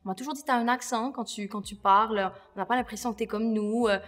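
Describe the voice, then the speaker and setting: A woman's voice: a little high, fairly even intonation, fast talker. A woman from Quebec explaining things informally as a guest on a panel. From Quebec, Canada. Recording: reverberant room.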